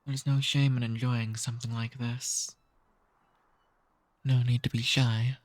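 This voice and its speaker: Casting: male actor for an ancient noble vampire, mid-pitched male voice, charming and softly dominant, intimate ASMR roleplay. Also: level tone, lightly acted. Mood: seductive, teasing. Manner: courtly, slow seductive teasing.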